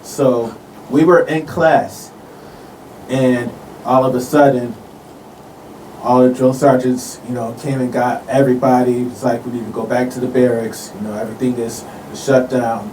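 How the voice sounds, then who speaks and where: A man's voice: medium pitch, little pitch movement, normal speed, dark tone, far from the mic. A man in his forties talks casually as an interview guest. His voice comes through with noticeable room echo, a little away from the microphone. The delivery is conversational and informal. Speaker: musician guest. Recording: roomy, off-mic, some background noise.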